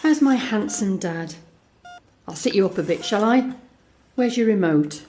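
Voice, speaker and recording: Audio drama lead: actress as frank, guarded young daughter, warm dark tone, intimate conversational monologue. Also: female voice, medium pitch, lively intonation, unhurried, slight background noise, close to an everyday voice. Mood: tender, wistful. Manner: confiding, conversational storytelling that re-enacts dialogue. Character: Alex, young woman, guarded, frank, tender daughter confiding a secret.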